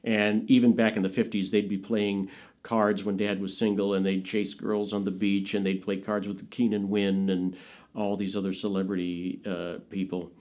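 A middle-aged man telling family anecdotes in a seated studio interview, animated and laughing, often jumping into high quoted voices. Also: moderate pace. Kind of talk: anecdotal storytelling. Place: indoors, studio with dark curtain backdrop.

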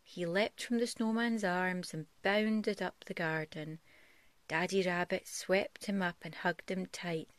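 A woman reading a picture book aloud as a parent would, in a soft, gentle storytelling style. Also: fairly low pitch, steady tone, measured pace, no echo. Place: bedroom, on a bed at home.

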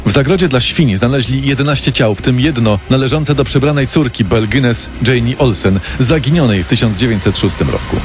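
A man's voice: mid-range voice, fairly quick, hardly any pauses. A man narrating a scripted true-crime story with dramatic storytelling delivery. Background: music.

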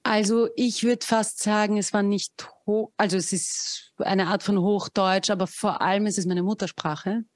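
A woman's voice: medium pitch, steady tone, moderate pace, hardly any pauses, no room sound, studio quality. A woman in her thirties, a musician guest on a podcast, speaking thoughtfully and searching for words over a video call. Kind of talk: casual interview chat. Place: home room with bookshelves, video call.

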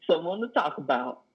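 A man in his thirties playing a lonely, guilt-ridden, simple-minded trucker in a play reading, with halting, folksy speech. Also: a little high, breathy edge, heavily acted. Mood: excited. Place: indoor room on a video call. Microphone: headset. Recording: muffled video-call audio.

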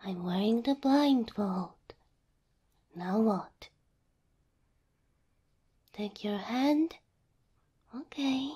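A woman playing a gentle, affectionate cow girl girlfriend in an ASMR roleplay, breathy and often whispering, tender and full of wonder. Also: a little high, extremely slow, pauses often, lo-fi sound, exaggerated voice. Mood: soft, curious, trusting. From Slavic country.

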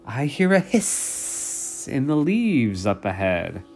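A big lumbering monster from a storybook, acted out by a storyteller, slow-witted and easily impressed. The words are dragged out very slowly, over music. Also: mid-range voice, big pitch swings, darkish tone, breathy edge, full character voice. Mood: wary. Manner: slow, drawn-out delivery.

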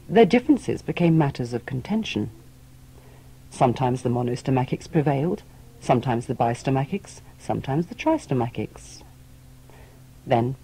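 A man's voice: mid-range voice, mellow, slightly rough, low-quality recording. A man telling a tale as a storyteller, in scripted audiobook narration.

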